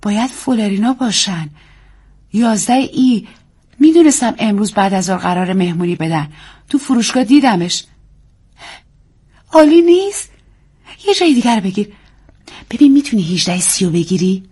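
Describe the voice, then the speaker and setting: An excitable young housewife, as a woman reading a story puts her on speaks in high, urgent bursts. The voice jumps up and stays loud and lively, with a slightly husky grain. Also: long pauses. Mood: excited.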